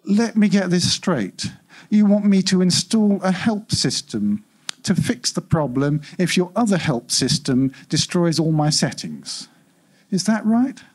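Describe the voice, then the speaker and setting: Give me an exasperated household AI from a near-future story, performed by a man reading aloud. It is self-important and fretful, complaining with indignant emphasis. The voice is husky and swoops widely in pitch. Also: sing-song, measured pace, a little mellow, full character voice. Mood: irritated. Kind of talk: live short-story reading.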